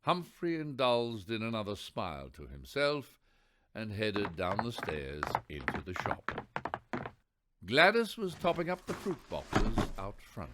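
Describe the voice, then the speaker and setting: Theatrical male narrator with hugely animated delivery. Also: lowish voice. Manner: theatrical, wry, deliberate emphasis. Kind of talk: dramatic scripted radio play narration.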